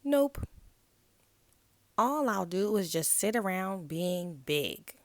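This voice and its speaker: Stubborn young frog for a picture book female reader, slow, childlike protests, dry close sound. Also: mid-range voice, big pitch swings, no room sound. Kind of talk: picture-book read-aloud.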